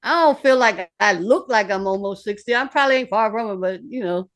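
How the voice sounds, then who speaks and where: A woman's voice: medium pitch, fairly quick. Blunt streetwise woman in her fifties. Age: 50s. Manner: blunt, swearing, streetwise and animated. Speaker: recording artist, guest. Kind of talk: candid casual interview talk.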